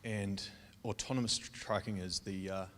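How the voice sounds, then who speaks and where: A man with a New Zealand accent giving a short, upbeat startup pitch to a crowd. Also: steady tone, normal speed. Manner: loud and upbeat, addressing a crowd. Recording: long hall reverb.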